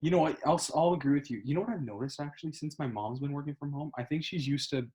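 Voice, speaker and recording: A young man, co-hosting a casual podcast chat, swearing often and excitably talking over his co-host. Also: on the high side, fast.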